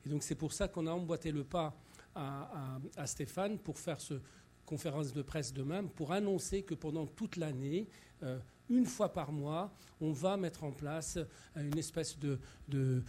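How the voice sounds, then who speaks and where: A man's voice: on the high side, measured pace, mellow, slight background noise. A man from Morocco giving an impromptu activist talk as a food anthropologist and chef, often repeating words as he searches for the next one. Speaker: food anthropologist and chef, speaker at a public event.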